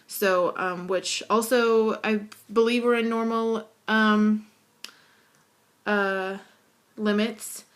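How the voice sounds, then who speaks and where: A woman speaks in a deep, slightly hoarse voice that slips into vocal fry. She holds a fairly flat, even pitch and talks casually to camera, pausing often on fillers. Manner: hesitant, frequent fillers. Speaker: student. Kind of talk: casual video assignment to camera.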